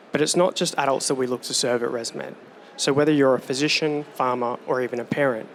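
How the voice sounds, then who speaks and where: Guy doing a rehearsed startup pitch, mid pitch voice, smooth and steady. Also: slight background noise. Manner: steady, polished delivery. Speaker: startup presenter.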